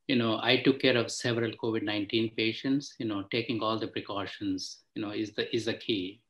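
Low-voiced man, earnest doctor. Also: a bit bright, poor audio. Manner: earnest, advising, many fillers. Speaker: physician panelist. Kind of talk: panel discussion. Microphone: video call.

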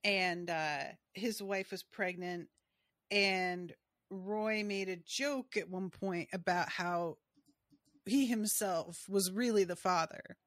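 A woman's voice: mid-range voice, slow pace, fairly bright tone, dry recording, clean studio sound. A woman co-hosting a podcast, talking casually and trailing off into asides. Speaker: podcast co-host. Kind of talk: casual recap chat about a TV episode.

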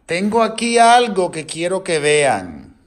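A man's voice: high voice, sing-song, slow. A middle-aged man in a home living room, chatting with his children and patiently coaxing them with questions, recorded by a camera across the room. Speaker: parent vlogger. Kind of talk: casual family chat.

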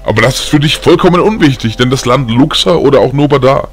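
A man voicing a caring, weary old grandfather in an audiobook, gentle and measured in his telling. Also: medium pitch, lively intonation, close to an everyday voice. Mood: solemn storytelling. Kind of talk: audiobook character acting.

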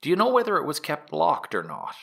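A man acts a Victorian-era prosecutor in an audio courtroom drama. His voice is crisp-edged and bright, with animated intonation. He puts each question formally and methodically, courteous but probing. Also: on the high side, a little fast, exaggerated voice. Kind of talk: dramatic reading of an 1890s trial transcript.

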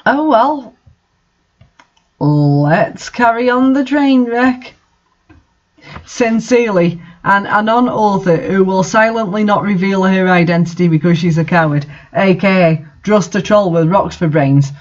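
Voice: medium pitch, a little slow, lo-fi sound. A host reading fan fiction aloud and adding casual, incredulous commentary.

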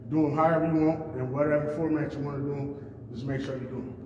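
A man explains a workout drill in plain, easygoing coaching talk, laying out each step. His slightly raised voice carries from far off across a large indoor gym, with a long ringing echo and steady background noise. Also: on the high side, quick pace, lo-fi sound. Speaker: fitness coach. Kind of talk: casual workout instruction. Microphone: far camera.

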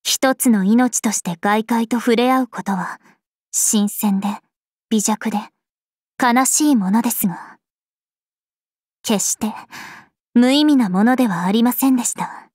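A woman playing a regal, serene young planetary spirit princess in an anime game, speaking formally and with measured elegance. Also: on the high side, a little mellow, dry recording. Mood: serene, reflective. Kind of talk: scripted anime game voice lines. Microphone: studio microphone. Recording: music behind a close dry studio voice.

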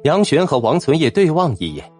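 A man's voice: fairly high pitch, big pitch swings, a little fast, a little mellow, soft background music. A man narrating a fantasy novel in a dramatic, scripted storytelling style. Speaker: audiobook narrator.

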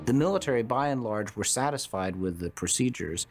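A man's voice: mid-range voice, animated, brisk, over music. A man giving expert commentary in a documentary interview.

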